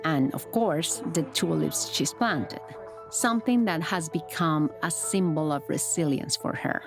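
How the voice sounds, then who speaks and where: A woman narrating a documentary report in a calm, descriptive, scripted manner. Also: low voice, unhurried. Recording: studio voice-over with music bed.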